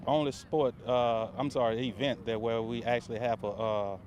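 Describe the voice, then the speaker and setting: A man's voice: medium pitch, fairly even intonation, moderate pace, a little mellow, lo-fi sound. Plainspoken man, head track coach. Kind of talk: interview answers. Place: outdoors at a youth track meet.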